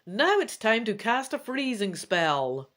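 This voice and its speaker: Sorceress queen and mother, caring yet commanding, solemn, pitched up with animated swoops performed by a narrator. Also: takes it slowly. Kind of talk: dramatic gamebook reading.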